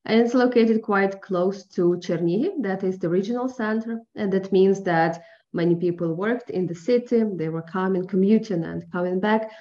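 Soft-spoken Ukrainian woman on a dull line. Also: lowish voice. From eastern Ukraine. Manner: careful, searching for words with many fillers. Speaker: journalist guest. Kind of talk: interview on a phone line. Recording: dull phone-line audio.

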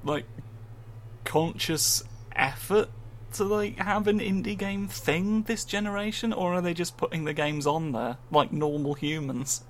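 A man's voice: a little high, a little slow, slight background noise. A man co-hosting a casual games podcast, sarcastic and swearing freely. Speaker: podcast co-host.

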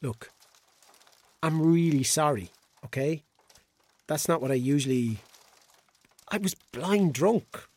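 A man's voice: a little high, animated, slow, long pauses, darkish tone. An actor with an Irish accent playing a hungover, bewildered, flippant ladies' man in a radio drama, talking in an awkward, colloquial way. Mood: apologetic.

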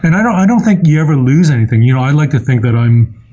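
A man from Hong Kong speaking as a podcast guest, thoughtful and measured with some hesitation. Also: a little high, animated, mellow, dull audio. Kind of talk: casual interview talk.

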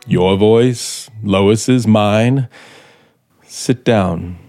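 A man's voice: on the low side, drawn-out words, warm tone, soft background music. A man acting in a radio drama as a bitter, vengeful scriptwriter with a sardonic, theatrical manner. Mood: coldly mocking. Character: bitter, vengeful male radio scriptwriter turned would-be murderer.

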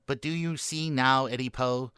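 A man's voice: medium pitch, fairly even intonation, normal speed, pushed and bright, smooth, no echo, studio quality, lightly acted. A man playing a morose, melodramatic boy in a comic gothic radio play, speaking in florid, solemn storytelling fashion. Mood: dramatic, fearful. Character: morose, melodramatic boy telling a doom-laden family curse tale.

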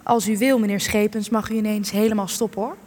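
A woman plays a solicitous, polite helper in a radio drama. Her voice is medium in pitch and courteous, carried in the long reverb of a large hall. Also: fairly even intonation, fast talker, darkish tone, natural voice. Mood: helpful. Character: solicitous, polite woman assisting an old guest.

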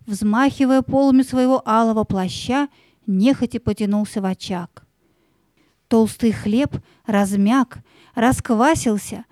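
A woman narrating a children's audio play as a scripted story, in an expressive, lyrical storytelling manner. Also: a little high, no echo.

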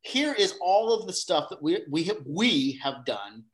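A man speaking as a guest in a casual interview, thinking aloud with frequent restarts and fillers, with animated bursts now and then. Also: high-pitched, unhurried, noisy background. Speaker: guest, theater company facilitator.

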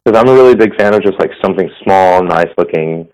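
A slightly hoarse man hosting a casual tech podcast over a phone line, rambling with fillers and restarts. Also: low-pitched, fast talker, darkish tone. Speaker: podcast host. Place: business center. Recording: phone-quality audio.

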